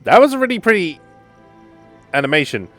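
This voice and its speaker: A woman's voice: fairly low pitch, big pitch swings, a little fast, soft background music. A woman giving casual gameplay commentary, musing aloud with puzzled asides and questions. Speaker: gaming channel player reacting to story.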